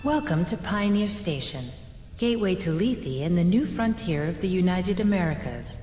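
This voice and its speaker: A woman's voice: on the low side, animated, normal speed, warm tone. Impassive corporate space station computer lady over the pa, lilting, long reverb, game sound. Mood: formal, impassive. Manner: formal, corporate. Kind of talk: automated station announcement. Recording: echoing hall reverb, music behind.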